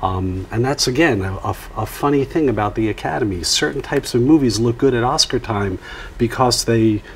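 A man in his fifties giving conversational commentary as a film critic, thinking aloud haltingly. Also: medium pitch, slight background noise. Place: TV studio.

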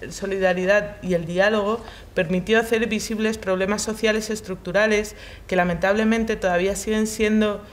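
A middle-aged woman reading an essay aloud with careful pauses and hesitations, in a large hall. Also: medium pitch. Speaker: reader in a shared reading group. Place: large hall, seated on the floor.

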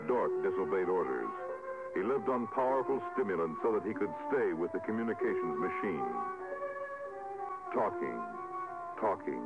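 An actor playing the solemn, dramatic narrator of a 1950s science-fiction radio drama. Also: male voice, deep voice, sing-song, moderate pace. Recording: phone-like vintage radio recording, loud music behind.

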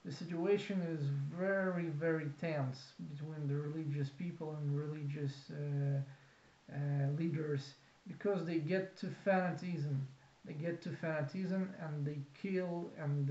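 A man in his thirties with a Russian accent, talking unscripted to a webcam in a small office, halting with many fillers and restarts. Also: on the high side, a little mellow. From Kazakhstan. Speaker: vlogger. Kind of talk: unscripted talk to camera. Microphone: webcam at desk. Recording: roomy, dull lo-fi webcam sound.

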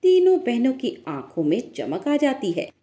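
Female storyteller, animated intonation, mellow tone, scripted moral tale narration. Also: on the high side, a little slow.

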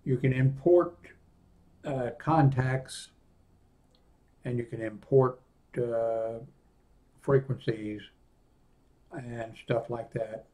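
An older man with an American accent giving an unscripted product walkthrough in a halting, rambling way that often trails off. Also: mid-range voice, steady tone, mellow. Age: 60s or older. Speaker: presenter of a radio equipment review. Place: small indoor room at a desk.

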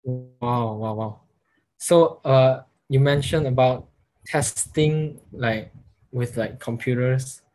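A young man hosts a conversation over a video call. He reacts eagerly and asks loose, meandering questions padded with fillers. His voice sits at an ordinary pitch for a man. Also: slow pace, a little mellow, low-quality recording. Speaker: host.

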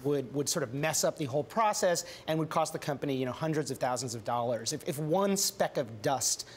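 A man's voice: fairly high pitch, lively intonation, brisk, a little hiss or hum. A young man reporter answering questions in a TV news studio, chatty and full of restarts and fillers.

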